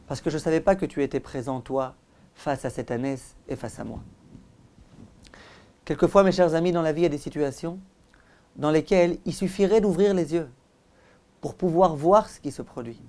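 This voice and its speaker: A man, a rabbi, giving a religious lesson as animated storytelling, with dramatic pauses and direct address to his listeners. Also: on the high side. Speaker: rabbi, teacher.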